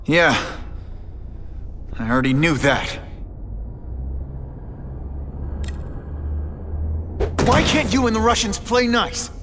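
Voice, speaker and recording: A man's voice: high voice, very animated, unhurried, fairly bright tone, a little hiss or hum, full character voice. A man playing a young, cocky superhero in a video game, impatient and sarcastic, with a quippy delivery. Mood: stern, probing.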